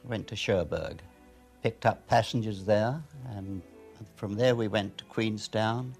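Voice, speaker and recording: An older man gives a plain spoken reminiscence. His voice is gravelly and fairly low, with faint music in the background. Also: unhurried, darkish tone. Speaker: shipwreck survivor.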